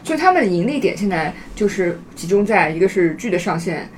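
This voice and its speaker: A woman with a mid-pitched voice speaks fast and with lively intonation. She sounds analytical as she chats as a panelist in a casual podcast discussion. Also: a little hiss or hum.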